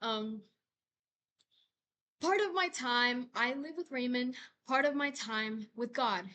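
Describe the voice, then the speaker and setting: A young woman voicing a tormented, self-loathing young intellectual in a measured dramatic reading. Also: on the high side, sharp tone, slight background noise. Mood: brooding.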